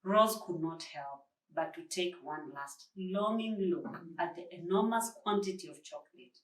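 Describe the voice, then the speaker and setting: A woman from Kenya reads a children's book aloud as narration, with deliberate, expressive phrasing. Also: fairly low pitch. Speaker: volunteer story reader. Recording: echoey room, slightly distant microphone.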